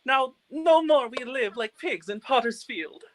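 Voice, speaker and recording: A woman's voice: high-pitched, measured pace, low-quality recording. A woman acting as a proud, exuberant immigrant male homeowner in a radio play.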